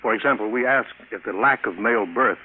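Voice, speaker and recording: A businesslike chief, played by a man in vintage radio acting style. His lowish voice carries conversational authority through a thin, slightly hissy old broadcast. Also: a little fast, narrow, tinny audio, natural voice. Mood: earnest, explaining. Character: matter-of-fact male chief of a space project.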